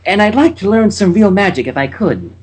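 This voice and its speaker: A man voicing a nervous, clingy female unicorn showmare, speaking softly and slowly with a flirty edge in a fan fiction reading. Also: high voice, sing-song, breathy edge, voice pushed up, exaggerated voice. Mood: pleading. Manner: soft, slow, slightly flirty.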